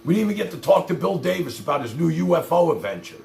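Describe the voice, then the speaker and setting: A man's voice: fairly high pitch, very animated, fast talker, creaky, darkish tone, a little hiss or hum. A man hosting a livestream, ranting at his viewers in a profane, confrontational, exasperated way with blunt rhetorical questions. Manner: addresses viewers directly with repeated rhetorical questions. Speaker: livestream host.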